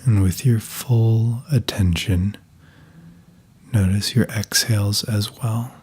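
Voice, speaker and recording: A man leading a guided meditation in a soft, calm, breathy voice, giving gentle instructions with long pauses. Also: on the low side.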